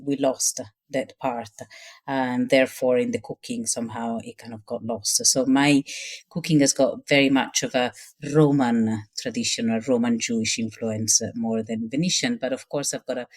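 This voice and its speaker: Low-voiced middle-aged woman. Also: fairly even intonation, normal speed, a little mellow, husky. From Rome, Italy. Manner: hesitant, with many fillers and restarts. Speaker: guest, cookbook author. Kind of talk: casual interview talk.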